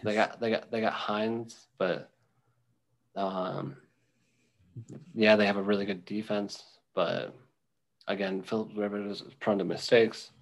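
Name: gravelly low-voiced guy. Tone: casual sports talk. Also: level tone. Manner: rambling, halting, many ums and restarts. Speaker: podcast co-host.

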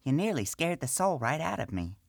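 A man's voice: a little high, very animated, fairly quick, creaky, husky, dry recording, studio quality, voice pushed up, exaggerated voice. A man voicing a jumpy, scolding middle-aged female gnome priestess in a storybook reading. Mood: startled.

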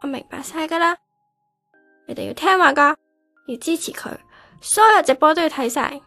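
A woman's voice: sits high, a little slow, breathy edge, no echo, soft background music, heavily acted. A woman acting a sensitive, dramatic nineteen-year-old daughter in a radio drama, with a Hong Kong Cantonese accent. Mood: tearful farewell.

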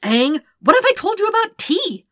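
A woman's voice: very high-pitched, very animated, normal speed, sharp tone, no echo, clean studio sound, voice pushed up, heavily acted. A woman voicing a sarcastic, protective teenage older brother who explains things insistently in an audiobook story. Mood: exasperated, insistent.

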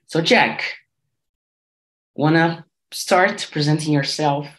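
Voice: medium pitch, slow, fairly bright tone. Encouraging language teacher. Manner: encouraging, asks short follow-up questions. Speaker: English teacher. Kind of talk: classroom instruction.

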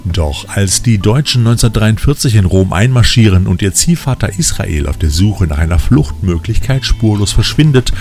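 Male film-news host, low register, playful scripted narration over a light music bed. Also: darkish tone. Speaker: host of a weekly trash film news show. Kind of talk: scripted upbeat review narration.

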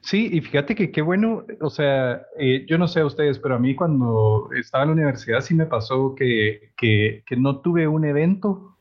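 A man co-hosting a casual panel chat, talking haltingly and repeating words. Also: mid-range voice, expressive, lo-fi sound. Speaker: co-host.

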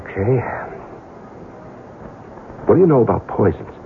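An actor playing a gruff, skeptical, blunt accomplice in a murder plot, hesitant at times, in a 1970s radio drama. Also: male voice, lowish voice, sing-song, unhurried, heavily acted. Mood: thoughtful, hesitant. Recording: muffled, hissy old radio broadcast recording.

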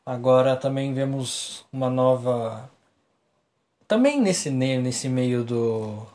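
A man's voice: mid-range voice, animated, takes it slowly, a little mellow, reverberant. A man with a Brazilian Portuguese accent, co-hosting a casual podcast chat in a slangy, rambling way with frequent restarts. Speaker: podcast co-host.

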